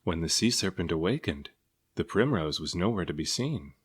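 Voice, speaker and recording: A man's voice: deep voice, animated, normal speed, husky. A man reading a children's storybook aloud as narrator. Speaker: storybook reader.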